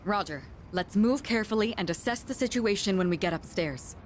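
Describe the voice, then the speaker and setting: A woman voicing a composed, authoritative young woman commander in an anime video game, formal and measured. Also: medium pitch, lively intonation, faint music behind, close to an everyday voice. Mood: serious.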